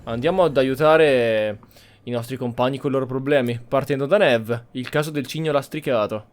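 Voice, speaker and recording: A man's voice: mid-range voice, fairly quick. A man giving commentary as he plays through a roleplay video game. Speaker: gameplay streamer.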